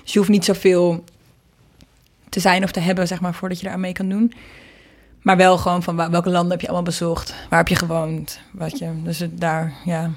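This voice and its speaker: Lower-pitched woman in casual conversation. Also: level tone, a little fast. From Netherlands. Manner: informal, explanatory, thinking aloud. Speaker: interviewed singer and producer.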